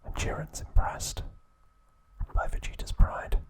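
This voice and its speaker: A man whispering ASMR trivia narration in a soft, steady voice, with casual asides now and then. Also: unhurried, poor audio. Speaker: ASMR creator.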